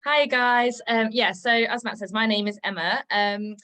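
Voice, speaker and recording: A woman's voice: fairly high pitch, unhurried, sharp tone. A woman joining a video call to share her personal story, with a hesitant manner full of "um"s. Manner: hesitant, with frequent "um"s. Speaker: guest sharing a personal story. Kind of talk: casual testimony on a video call.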